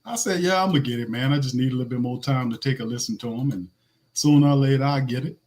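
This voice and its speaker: Raspy guy hosting a sports pod, casual slangy talk, reads off stats. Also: mid-range voice, fast, a little mellow. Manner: loose, slangy, restarts a lot, reads stats. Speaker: podcast host.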